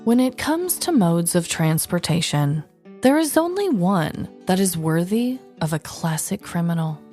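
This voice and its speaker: A woman co-hosting a podcast with an expressive, dramatic way of talking, both bantering and telling stories. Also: on the low side, a little slow, faint music behind. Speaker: podcast co-host. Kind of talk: casual banter and scripted comic storytelling.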